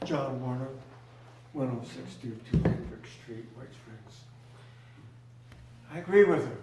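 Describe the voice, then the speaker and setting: A man speaking briefly and matter-of-factly at a town meeting's public comment. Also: mid-range voice. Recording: echoey room from far off, hiss.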